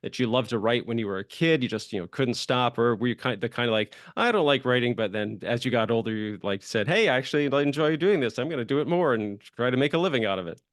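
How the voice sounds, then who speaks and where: A man's voice: medium pitch, lively intonation, quick pace, hardly any pauses, dry recording. Chatty male podcast host, close dry sound. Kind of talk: casual conversational interviewing.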